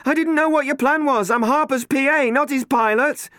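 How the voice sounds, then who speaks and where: A panicked young woman shouting to be heard, her voice pushed up high and loud. The delivery is dry and close to the mic, with no room around it. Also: normal speed, dry recording. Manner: shouting over wind. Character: frantic young woman, a personal assistant.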